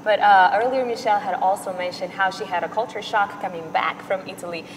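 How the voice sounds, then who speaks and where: A young woman from the United States hosting a studio interview, asking measured questions with many "you know"s. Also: medium pitch, big-room sound, noisy background. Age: young adult. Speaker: talk show host. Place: indoor studio set. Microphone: lapel mic.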